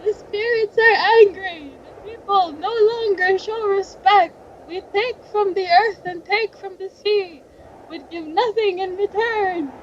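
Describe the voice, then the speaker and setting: A woman's voice: extremely high pitch, unhurried. A woman playing a stern villager who warns of angry spirits in a declamatory, scolding way, in a dramatic folktale. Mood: angry, accusing. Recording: muffled lo-fi, background noise.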